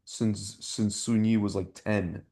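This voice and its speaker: A man's voice: lowish voice, flat delivery, slow, mellow. A man chatting casually and giving opinions on a podcast, rambling in slangy language and often repeating or breaking off his phrases. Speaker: podcast host.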